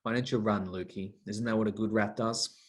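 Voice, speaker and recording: A man's voice: fairly low pitch, fairly even intonation, brisk, natural voice. An actor playing a sneering bitter young man. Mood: mocking. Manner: mocking, contemptuous. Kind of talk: broadcast drama acting.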